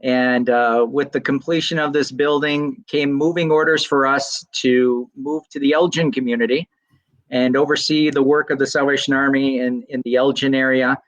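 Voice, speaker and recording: A man's voice: medium pitch, fairly even intonation, normal speed, dry recording, rough recording. A Salvation Army officer answering interview questions over a video call, earnest and explanatory, often restarting his words.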